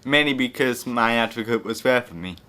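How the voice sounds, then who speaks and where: Bright-voiced young English man, earnest. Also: mid-range voice, a little fast. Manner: earnest, hesitant with fillers. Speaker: young person using the service. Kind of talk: interview testimony.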